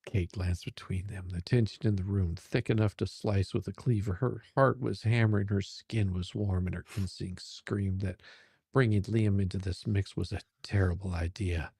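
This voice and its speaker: A man with a low, gravelly voice narrates romantic fiction. He reads slowly and dramatically, in a dry, close sound. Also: fairly even intonation, darkish tone, no echo. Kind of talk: dramatic romance story reading.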